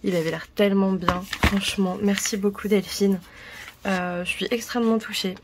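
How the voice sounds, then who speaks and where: A woman's voice: mid-range voice, steady tone, normal speed, background noise. A woman chatting casually to camera as a book vlogger, in a rambling, self-correcting way.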